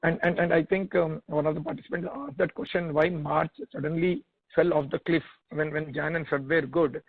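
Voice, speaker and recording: Male analyst, Indian accent, slightly high and husky, stumbling repetitive questioning over narrowband phone. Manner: halting, repetitive, stammers over repeated words. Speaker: analyst asking questions on an earnings call. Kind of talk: spontaneous questioning on a conference call. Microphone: telephone conference call. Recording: tinny narrowband phone line.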